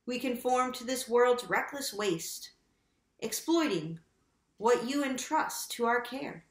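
A woman's voice: fairly high pitch, lively intonation, roomy sound. Earnest young woman leading a prayer. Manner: measured, earnest liturgical reading. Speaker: worship leader. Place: small room at home, indoors.

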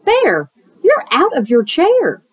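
A woman doing a brisk, startled hare. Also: extremely high pitch, huge pitch swings, dry recording, pitched above the performer's own voice, exaggerated voice. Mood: incredulous. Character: busy jogging male hare, brisk and surprised.

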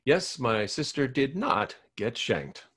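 Man reading earnest lovesick radio host, lively pitch. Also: takes it slowly, husky, full character voice. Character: lovesick awkward male radio host groom. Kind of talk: table read.

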